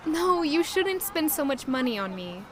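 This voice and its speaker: Woman doing a shy young ex-orphan girl in an audio drama, soft and unsure, kinda high voice. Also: sing-song, normal speed, background noise. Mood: modest. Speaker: narrator and lead character. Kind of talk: audio drama acting with first-person narration.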